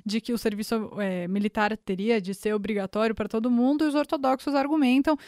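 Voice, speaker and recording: A woman's voice: fairly high pitch, steady tone, a little fast, darkish tone, no room sound. Woman hosting a podcast in a dry, close sound. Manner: friendly, asks questions, gives context. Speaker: podcast host.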